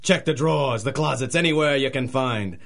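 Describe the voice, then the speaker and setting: A man's voice: mid-range voice, fairly quick, gravelly, fairly bright tone. A man playing a bossy, scheming gang leader with tough-guy slang in a 1930s-style radio drama. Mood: menacing, bossy.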